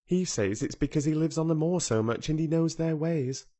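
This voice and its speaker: A man's voice: fairly high pitch, moderate pace, mellow, pitched above the performer's own voice, natural voice. A man reading aloud who voices a headstrong, contrary young girl with an earnest, blunt way of speaking. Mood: eager.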